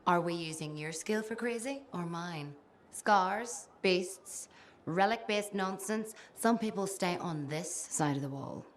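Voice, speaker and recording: A woman's voice: lowish voice, measured pace, close to an everyday voice. A woman voicing a confident, teasing mechanic in a video game cutscene. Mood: wry teasing. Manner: teasing, sardonic. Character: wry, confident female mechanic, teasing and fond.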